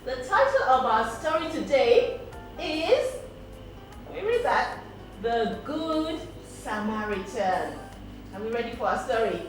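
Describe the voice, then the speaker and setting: A woman telling a story to children, pausing to explain and ask questions. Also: a little high, big pitch swings. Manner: explains words, asks questions. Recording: echoey, distant mic, music behind.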